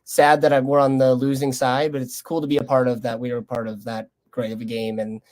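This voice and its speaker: A man's voice: medium pitch, flat delivery, a little fast, darkish tone. Young Canadian man, earnest and conversational. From Lethbridge, Canada. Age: young adult. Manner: earnest, loose, conversational phrasing. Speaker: college baseball player being interviewed. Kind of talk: casual interview answers.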